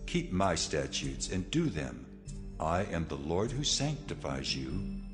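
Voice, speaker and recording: An actor playing God as a solemn, measured, commanding lawgiver in a dramatized scripture reading. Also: male voice, deep voice, very animated, exaggerated voice. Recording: faint hall reverb, music underneath. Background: music.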